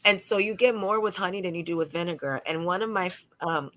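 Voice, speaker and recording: A woman's voice: lowish voice, quick pace. A woman lawyer from New York speaking on a panel, telling stories animatedly and acting out what people said. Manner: animated storytelling, acts out quotes. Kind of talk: panel discussion.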